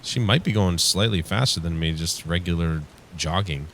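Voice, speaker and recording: Male game commentator, low register, stuffed-up strained voice, rambling wry delivery over background ambience. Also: normal speed, a bit bright. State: congested from flu, strained voice from yelling. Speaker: gaming show host. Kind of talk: casual let's play commentary. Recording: clear background noise.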